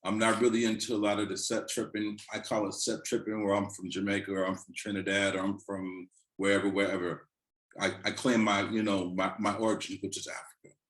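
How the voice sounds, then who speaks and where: Earnest low-voiced man in an echoey room. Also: little pitch movement, a little fast, a bit bright. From California. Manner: earnest, repeats words while searching for them. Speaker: reggae artist guest. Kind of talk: casual interview.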